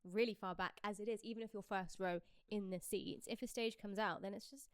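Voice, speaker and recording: A woman's voice: medium pitch, steady tone, brisk, clean tone, no room sound, studio quality. A British woman co-hosting a podcast in casual, gossipy chat about pop culture, swearing casually. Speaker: podcast co-host.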